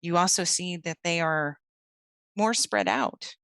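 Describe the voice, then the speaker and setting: A woman narrating as storyteller for a tabletop werewolf game, setting scenes slowly and deliberately. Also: fairly low pitch, sing-song, fairly bright tone, no echo, studio quality.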